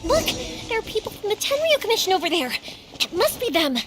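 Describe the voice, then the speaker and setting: A woman voicing a spirited, caring young woman in a video game, expressive and earnest, with music behind her. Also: very high voice, brisk. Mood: urgent, determined. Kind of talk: English video game voice acting.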